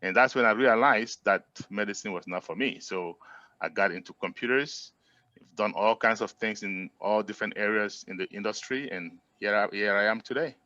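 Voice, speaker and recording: A middle-aged man from Cameroon, a guest in a casual interview who tells his story in a friendly, relaxed way with frequent "uh" fillers. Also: lowish voice, moderate pace, no room sound, lo-fi sound.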